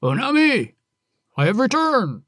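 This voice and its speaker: Brutal male highway robber, shouting and bullying, read by a storyteller, raspy edge. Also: wildly swooping pitch, unhurried, dry recording, clean studio sound, heavily acted. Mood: angry bullying.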